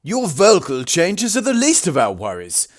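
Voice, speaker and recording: A man's voice: high voice, wildly swooping pitch, moderate pace. A man playing a wry, wordy, flippant detective in an amateur radio drama.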